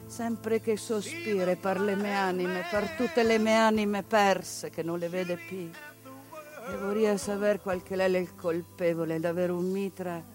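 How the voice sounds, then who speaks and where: A woman's voice: medium pitch, steady tone, darkish tone, soft background music, lightly acted. A woman playing a grieving old mountain woman. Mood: grieving, bitter. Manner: slow, lamenting, bitter.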